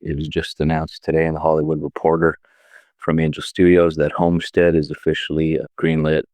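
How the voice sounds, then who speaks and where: A very low-voiced man from Canada in his forties, a guest on a podcast. He talks in animated, quick bursts and often restarts his sentences. The sound is dry and close to the mic. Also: dry recording. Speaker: actor and filmmaker guest. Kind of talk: casual interview chat.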